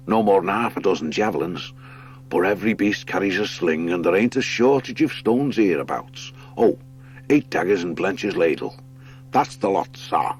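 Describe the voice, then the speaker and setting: A man's voice: low-pitched, lively intonation, moderate pace, faint music behind. A man voicing a dutiful military hare in an audiobook, with a clipped, reporting manner. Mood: brisk, dutiful. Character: military male boxing hare, brisk and dutiful. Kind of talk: audiobook character acting.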